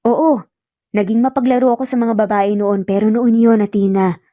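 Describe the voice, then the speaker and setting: Woman voicing a gentle, mellow young man in love. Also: mid-range voice, steady tone, normal speed, dry recording, natural voice. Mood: calm explaining. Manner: gentle, sincere, code-switching into English. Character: earnest, teasing young male engineer in love. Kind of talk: audio romance storytelling.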